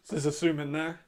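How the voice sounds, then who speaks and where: A man hosting a watch-along, giving casual live commentary with a raspy voice, chatty and laughing often. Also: a little high, a little mellow. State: hay fever.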